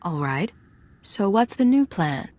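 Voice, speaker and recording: An actress playing a composed, resolute young undercover imperial agent in a video game, terse and controlled. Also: female voice, mid-range voice, big pitch swings, slow pace, a little mellow. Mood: cool, probing. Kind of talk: dramatic video game acting.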